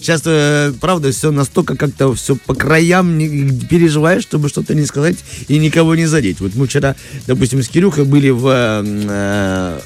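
A man's voice: a little high, normal speed, soft background music. A man hosting a morning radio show, chatting casually in a rambling, joking way. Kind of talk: casual chat. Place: radio studio.

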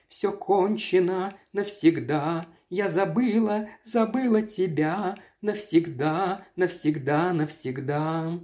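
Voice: medium pitch, a little mellow, voice pushed up, lightly acted. Чтец аудиокниги играет печальную певицу кабаре, произносит слова протяжно и лирично, выше обычного голоса. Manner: slow, drawn-out, lyrical. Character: soulful female cabaret singer, lamenting lost love.

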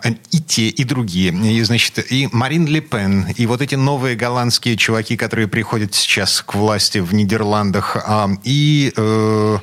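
A man's voice: on the low side, moderate pace, hardly any pauses, a bit bright. A man hosting a studio discussion, talking with frequent hesitations and fillers. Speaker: host.

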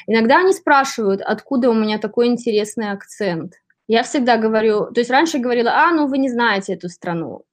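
A woman from Belarus speaking as an interviewee, casually telling stories in an ironic, expressive way and acting out exchanges. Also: a little high, fast, no echo. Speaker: interviewee, writer and boutique sales worker.

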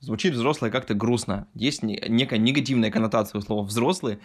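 A man's voice: mid-range voice, brisk. A man in his mid-twenties from Russia co-hosting a podcast, chatting casually in a reflective, colloquial way. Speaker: podcast co-host. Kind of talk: casual chat.

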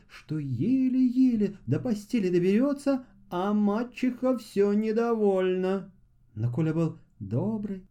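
A man narrating a scripted fairy tale for children as an expressive, unhurried folk storyteller. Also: high-pitched.

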